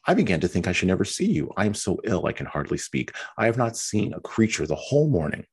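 A fretful, self-pitying young wife who whines through every complaint, as a man reading aloud puts her on. Her voice rises well above his own husky register and swings about with each grievance. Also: sits low, big pitch swings, fast, pitched above the performer's own voice, heavily acted. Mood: complaining. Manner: whiny, complaining.

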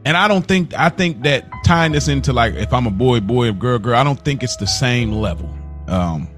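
Male podcast co-host, mid-pitched and very lively, slangy rebuttals, music underneath. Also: normal speed. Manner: animated, slangy, rebuts with repeated no's. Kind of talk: casual sports debate.

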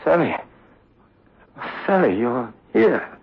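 A man's voice: medium pitch, big pitch swings, slow, a little hiss or hum. An actor playing a tormented, obsessive young man with a stammering, pleading, overwrought delivery in a 1960s radio horror drama. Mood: anxious, relieved. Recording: muffled old radio recording.

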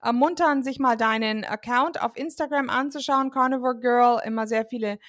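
A woman's voice: a little high, normal speed, a bit bright. A woman hosting a podcast interview, speaking in a friendly, encouraging way with frequent filler sounds. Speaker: podcast host. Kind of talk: casual interview chat.

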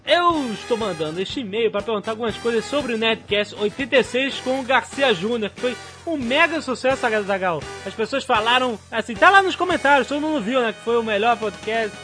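Male Brazilian podcast host, very high bright voice, exclamatory delivery, music underneath. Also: normal speed, few pauses. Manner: exclamatory, laughs often. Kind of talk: animated podcast banter, reading listener emails. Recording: music behind the voice. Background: background music.

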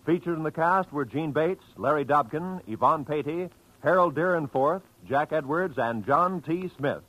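A man's voice: a little high, moderate pace, fairly bright tone, rough recording. An American man announcing on network radio in a polished, formal 1940s style.

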